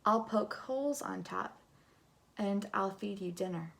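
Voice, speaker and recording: A woman's voice: mid-range voice, unhurried, creaky. A woman voicing a small, polite girl who loves colors in a storybook. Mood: polite, coaxing.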